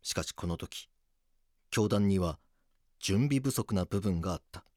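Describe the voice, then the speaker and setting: An actor narrating a crime docudrama as a grave police detective, in a measured, scripted delivery. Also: male voice, low-pitched, expressive, a little mellow, no echo, clean studio sound. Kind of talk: scripted dramatic documentary narration.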